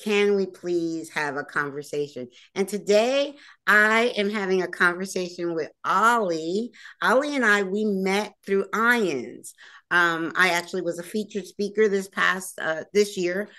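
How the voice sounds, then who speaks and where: A woman's voice: mid-range voice, measured pace, sharp tone. A woman hosting and producing her own talk show, chatting casually with many fillers and restarts. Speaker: host and producer. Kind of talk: casual interview chat.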